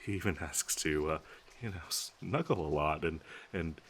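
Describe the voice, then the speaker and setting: A man playing a nervous, lovestruck and obsessive best friend in an intimate audio roleplay, stammering and haltingly giggling as he speaks to the listener. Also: rumbling low, fairly bright tone, slight background noise. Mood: coy, nervous.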